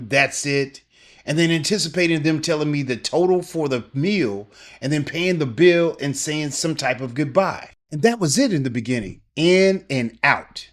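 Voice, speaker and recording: A middle-aged man giving a scripted video lesson as a language teacher, upbeat and emphatic in his coaching. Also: fairly high pitch.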